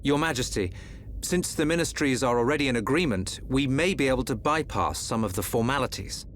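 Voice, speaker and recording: A man plays a courtly prince in a fantasy game, earnest and deferential. His mid-range voice moves widely in pitch, over faint noise. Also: expressive, fairly quick, fairly bright tone, close to an everyday voice. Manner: formal, earnest.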